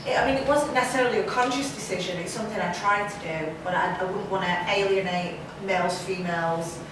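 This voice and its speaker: Female film director, informal panel talk, far from the mic with clear background noise. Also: medium pitch, quick pace. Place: indoor event room at a film festival panel. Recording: echoey room from far off, noisy.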